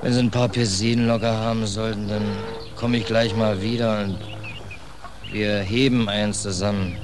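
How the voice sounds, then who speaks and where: A man's voice: lowish voice, flat delivery, moderate pace, close to an everyday voice. An actor playing a ragged, bitter male sailor in a 1940s German radio play. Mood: weary, laconic. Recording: noisy vintage 1940s radio recording.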